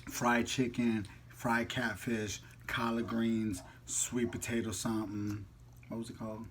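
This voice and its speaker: A man's voice: lowish voice, little pitch movement, measured pace, fairly bright tone, slight background noise. A man hosting a casual mukbang vlog, chatting in a jokey, slangy, playful way while eating.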